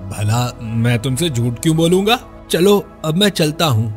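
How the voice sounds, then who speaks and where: A man's voice: fairly high pitch, sing-song, normal speed, faint music behind. A man playing a sly, greedy villager who schemes for money in a scripted cartoon story. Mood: evasive.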